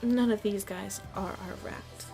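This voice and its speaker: A woman playing a shrewd, proud nightclub headliner in the mafia in an ASMR roleplay, speaking deliberately in a haughty, taunting manner. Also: medium pitch, fairly even intonation, creaky, mellow, faint music behind. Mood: confident, scheming. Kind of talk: ASMR roleplay audio drama.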